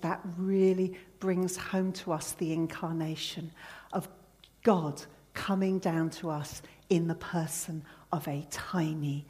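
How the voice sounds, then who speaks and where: A woman preaching a church sermon in an earnest, emphatic way. She leans on key words and slows down for scripture and hymn lines. Her voice sits at an ordinary pitch for a woman. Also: warm tone.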